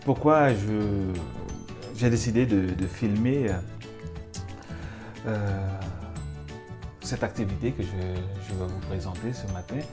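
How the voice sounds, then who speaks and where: A man's voice: fairly low pitch, takes it slowly, pauses often, music in the background. A man from the Netherlands with a Dutch accent, speaking French, talking through a home cooking video with many hesitations and restarts. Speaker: home cook.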